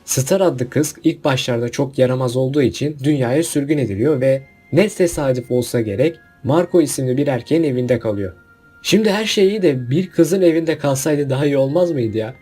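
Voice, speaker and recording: A man's voice: medium pitch, warm tone, slightly rough. A man hosting a casual list video, giving opinionated commentary with jokey asides and rhetorical questions. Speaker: video host.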